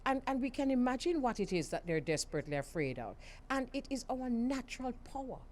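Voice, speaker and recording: A woman with a Jamaican accent hosting a radio talk show, emphatic and quick to laugh. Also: fairly high pitch, a little hiss or hum. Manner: lively, laughs often, emphatic. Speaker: radio host.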